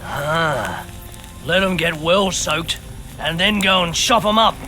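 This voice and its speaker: High-pitched cheeky mercenary. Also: male voice, takes it slowly, pushed and bright, soft background music. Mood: eager, scheming. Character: cheeky, crude male mercenary sidekick. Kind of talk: video game cutscene acting.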